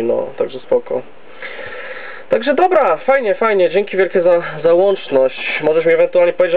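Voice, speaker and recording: A man with a fairly high voice talks over a thin, phone-like radio channel. He is chatty and loose, making casual contact as a radio operator. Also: a little hiss or hum. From Poland. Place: home base station. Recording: narrow, tinny CB radio audio.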